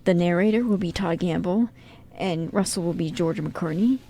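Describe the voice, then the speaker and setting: A woman's voice: lowish voice, moderate pace, mellow, a little hiss or hum. A woman hosting a radio show, moving between scripted introduction and casual chat.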